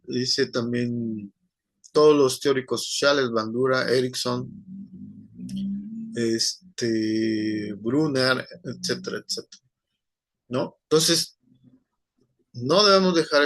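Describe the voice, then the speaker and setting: A man lectures to an online class at an unhurried, deliberate pace. He rambles, pausing often and leaning on fillers and tag questions. The sound is dry and close, with no room echo. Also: mid-range voice, a bit bright. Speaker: teacher.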